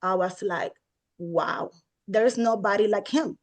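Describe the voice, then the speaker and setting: A woman's voice: medium pitch, measured pace. Effusive Puerto Rican woman interviewer. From Puerto Rico. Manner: effusive, asks long rambling questions.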